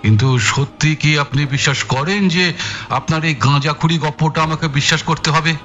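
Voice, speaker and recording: Casting: irritable detective, sharp high-set voice, condescending and sarcastic, audio thriller. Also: male voice, lo-fi sound. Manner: gruff, condescending, punctuating with weary sighs. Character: tired, irritable, sarcastic male police detective officer. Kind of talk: Bengali audio thriller acting.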